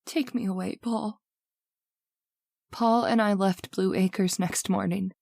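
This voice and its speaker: A woman's voice: mid-range voice, fairly even intonation, slow pace, gravelly, darkish tone, no room sound, clean studio sound. A woman playing a haunted young wife in an old-time radio horror drama, with an earnest, dramatic delivery. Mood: somber, haunted.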